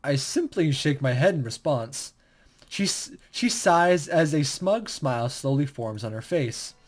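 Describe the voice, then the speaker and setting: A man's voice: fairly high pitch, expressive, measured pace. A young man hosting a gaming channel, casual and enthusiastic, commenting and narrating from a visual novel with some stumbles. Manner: informal, enthusiastic, stumbles over reading.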